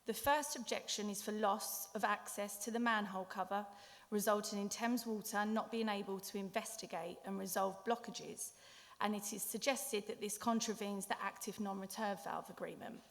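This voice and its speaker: A woman's voice: medium pitch, normal speed, creaky. A woman, an applicant, reading a firm prepared statement at a council planning meeting, taking each point in turn. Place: council chamber. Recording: long hall reverb.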